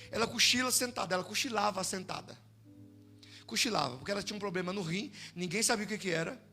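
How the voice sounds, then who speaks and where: High bright-voiced Brazilian pastor preaching. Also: male voice. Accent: Brazilian Portuguese. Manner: animated, swings from shouting to hushed storytelling. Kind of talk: sermon with storytelling. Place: church service.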